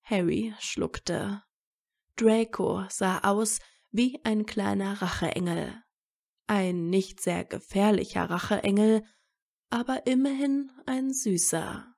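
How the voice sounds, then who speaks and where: Slow, creaky-voiced woman narrating a story. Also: mid-range voice, a little mellow.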